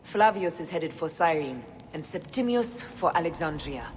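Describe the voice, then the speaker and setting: A woman's voice: low-pitched, expressive, moderate pace, darkish tone. A woman playing a vengeful ancient Egyptian warrior in a video game, grave, terse and restrained. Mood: cold resolve. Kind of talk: dramatic video game acting. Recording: reverberant, faint ambient noise.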